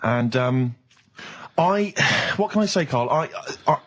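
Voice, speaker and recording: A man's voice: medium pitch, animated, slow. A British man hosting comic radio banter, teasing in a mock-earnest way. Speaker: radio show host.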